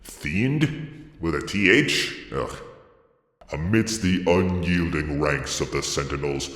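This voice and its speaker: Man putting on a very deep pompous demon narrator, echoing. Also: expressive, takes it slowly, fairly bright tone, heavily acted. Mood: pompous, halting. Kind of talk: dramatic lore reading broken by asides. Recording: echoey hall reverb.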